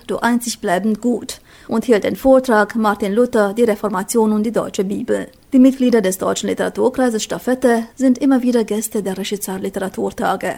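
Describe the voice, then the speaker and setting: A woman narrating a scripted radio news report in an even, measured read. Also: on the high side.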